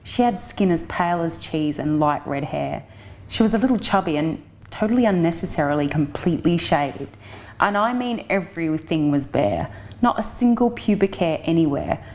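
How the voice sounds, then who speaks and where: A woman's voice: low voice, animated, moderate pace, a little mellow. A woman reading a first-person novel excerpt as an actress, in a frank, matter-of-fact storytelling manner. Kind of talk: first-person audiobook narration.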